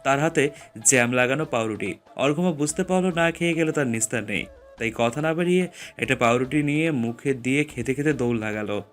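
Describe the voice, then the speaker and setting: A man's voice: medium pitch, fairly quick, soft background music. A man reading scripted story narration as the narrator.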